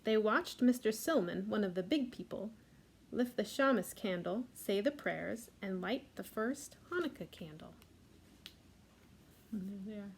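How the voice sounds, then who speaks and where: A woman reading a picture book aloud for a library storytime, adding gentle asides about the pictures. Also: mid-range voice, lively intonation, measured pace, slight background noise.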